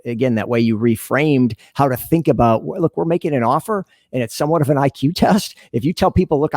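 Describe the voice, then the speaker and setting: A man's voice: mid-range voice, darkish tone, no echo. Man giving earnest interview answers, close dry sound. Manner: earnest explaining, acts out imagined dialogue. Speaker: podcast guest, sales leader and business consultant.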